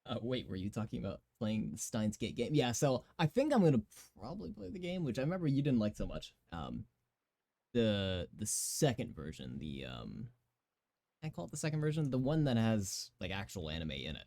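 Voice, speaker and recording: A young man in his twenties with a raspy voice, chatting casually and giggling often as he streams a game. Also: on the low side, wildly swooping pitch, moderate pace, a little mellow, dry recording, studio quality. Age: 20s. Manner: giggly, rambling chatter full of fillers.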